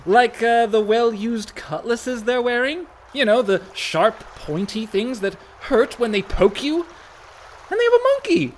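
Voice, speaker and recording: A man's voice: extremely high pitch, sing-song, measured pace, a little hiss or hum, heavily acted. An actor playing an anxious, sarcastic younger brother, a reluctant con man, in a comic radio play. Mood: worried, exasperated. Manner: sarcastic, fretful.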